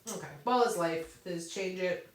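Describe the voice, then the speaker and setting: A woman's voice: on the low side, moderate pace. A woman hosting a casual craft Q&A, answering viewers' questions and thinking aloud while she demonstrates on her computer, laughing often. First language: English. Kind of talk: casual live Q&A with on-screen demonstration. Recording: roomy echo, a bit far from the mic.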